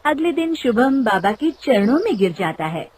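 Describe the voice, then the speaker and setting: A woman's voice: a little high, big pitch swings, normal speed, a little mellow, husky. A woman reading scripted narration for a moral storybook cartoon.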